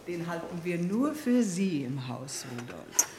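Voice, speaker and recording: Radio drama: stern mistress of the house, animated intonation, commanding, roomy acoustic. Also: female voice, fairly low pitch, a little hiss or hum. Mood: controlled. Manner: commanding, brisk, formal. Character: bossy, austere lady of the house. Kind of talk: German radio play acting.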